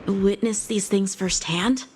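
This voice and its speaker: An actress playing a respectful, thoughtful companion in a game, gentle and deliberate. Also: female voice, medium pitch, a little hiss or hum, lightly acted. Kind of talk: dramatic video game voice acting.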